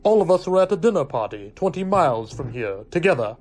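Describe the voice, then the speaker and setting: Man acting idle society gentleman, radio play, theatrical, florid flattery, self-deprecating. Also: a little high, brisk. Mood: defensive. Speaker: actor in an amateur radio play. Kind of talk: radio mystery drama acting.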